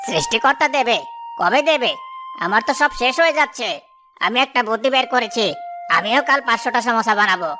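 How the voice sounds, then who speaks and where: A woman's voice: on the high side, lively intonation, moderate pace, close to an everyday voice. A woman voicing a jealous, scheming and stubborn village samosa seller, indignant and boastful, in a moral-story cartoon. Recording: clear music behind the voice.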